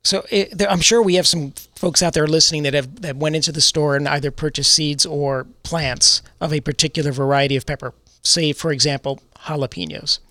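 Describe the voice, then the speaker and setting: New male co-host, bright and a bit high, dry studio sound; casual, wry explainer. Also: fairly even intonation, a little fast, few pauses, dry recording. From New York. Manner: explanatory, good-humored, fond of wry jokes. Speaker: radio garden show co-host. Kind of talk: casual radio chat. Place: radio studio.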